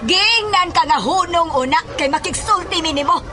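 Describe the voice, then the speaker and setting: Shrill, haughty bullying woman, played by an actress. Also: animated, moderate pace, background noise. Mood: angry, scolding. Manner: scolding, shrill. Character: haughty, aggressive female bully picking a confrontation. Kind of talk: horror audio drama acting.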